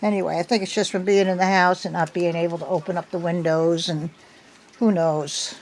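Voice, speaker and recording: An older woman with a slightly hoarse voice from a cold, chatting at home into her phone in a meandering way, with many ums. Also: fairly low pitch, steady tone, fairly quick, a little hiss or hum. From United States. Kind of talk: casual chat. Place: at home indoors.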